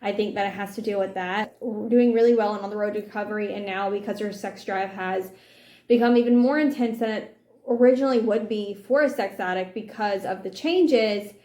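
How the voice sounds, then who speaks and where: A woman's voice: medium pitch, mellow. A woman vlogger talking through a book's plot in a candid, rambling way, often restarting mid-sentence. The room around her rings with noticeable echo. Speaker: book vlogger. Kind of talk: casual commentary on a novel.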